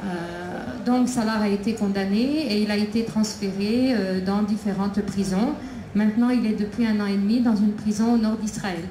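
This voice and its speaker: A woman's voice: medium pitch, fairly even intonation, mellow, a little hiss or hum. Hesitant woman speaking over an echoey PA. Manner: halting, many hesitations. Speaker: prisoner's mother, invited speaker. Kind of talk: public talk at a debate. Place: outdoor festival debate tent. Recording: echoey PA with crowd ambience.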